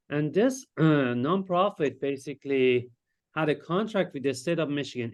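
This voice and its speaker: A man from Iran, a Farsi speaker, presenting his research as a psychiatrist in a lecture and pausing often to search for words. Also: medium pitch. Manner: explanatory, searches for words with frequent hesitations.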